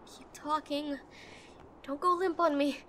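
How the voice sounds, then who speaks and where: Woman acting a bossy scared tsundere gf in audio roleplay, high pitched, slow halting lines, faint storm noise behind her. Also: steady tone, pushed and bright, exaggerated voice. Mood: urgent, pleading. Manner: bossy, urgent, emotional, halting. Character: tsundere young woman, brusque but frightened, protective girlfriend. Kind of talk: roleplay audio drama, spoken to listener.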